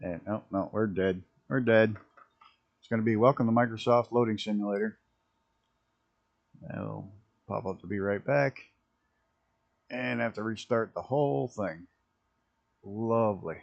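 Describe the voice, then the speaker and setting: A man with a rough early-morning voice, chatting casually and rambling as he streams a flight simulator. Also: lowish voice, expressive, measured pace, long pauses, dull audio. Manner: rambling, self-interrupting, chuckling at mishaps. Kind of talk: casual live-stream commentary.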